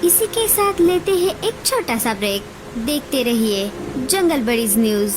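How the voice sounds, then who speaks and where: Woman doing a jungle tv news bird, formal, high voice, measured pace, music bed. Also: big pitch swings, low-quality recording. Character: female jungle bird television newsreader, formal. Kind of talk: scripted news bulletin parody.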